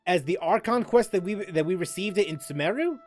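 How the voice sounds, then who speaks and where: High, lively-voiced male gamer. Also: a little fast, soft background music. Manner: musing, rambling, with restarts and laughs. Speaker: gaming streamer. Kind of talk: casual gameplay commentary.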